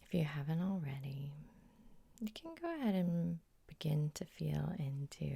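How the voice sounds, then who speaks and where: A woman hypnotherapist guiding an ASMR sleep hypnosis in a soft, soothing half-whisper with slow, lingering phrases. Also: sits low, vocal fry, dry recording. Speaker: hypnotherapist and host.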